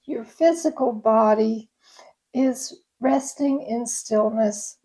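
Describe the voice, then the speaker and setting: Yoga teacher lady doing guided meditation, really slow and gentle, mellow soothing voice. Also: a little high, poor audio. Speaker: yoga nidra instructor.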